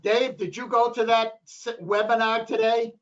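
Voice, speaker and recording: A hoarse man with a high-pitched voice talks at a town planning board meeting, rambling and repeating himself. The sound is dull and lo-fi, like local cable TV audio. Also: flat delivery, moderate pace, a bit bright. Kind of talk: meeting discussion.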